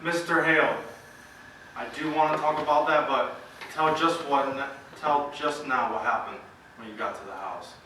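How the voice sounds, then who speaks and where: Man playing formal attorney, bright, very echoey. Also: medium pitch, normal speed, close to an everyday voice. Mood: firm, prompting. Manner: formal, prodding, interrogating. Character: businesslike county attorney questioning a witness. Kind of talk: amateur stage play acting. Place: theatre stage, indoors. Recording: echoey stage from far off, faint noise.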